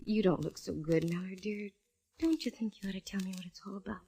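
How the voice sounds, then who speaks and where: An actress playing a cool, sassy, wisecracking private eye with wry, punchy delivery in 1940s radio detective drama. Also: female voice, medium pitch, quick pace, creaky, dark tone, breathy edge. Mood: needling. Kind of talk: 1940s radio detective drama, first-person narration and dialogue. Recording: faintly noisy vintage radio recording.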